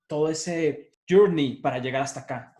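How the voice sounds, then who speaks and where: A man's voice: fairly high pitch, moderate pace, mellow. Man hosting an interview. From Colombia. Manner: inquisitive, asks several questions at once. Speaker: interview host. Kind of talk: friendly interview questions.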